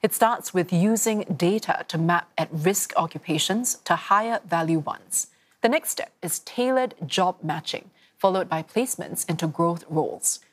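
A woman presenting a news report and interviewing a guest, with measured, clear delivery. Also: on the low side. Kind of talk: scripted news reading and interviewing.

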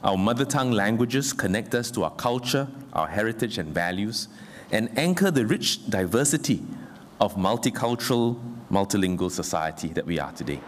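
A man giving a formal official speech in a slow, emphatic way. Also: lowish voice, animated, big-room sound. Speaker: government speaker.

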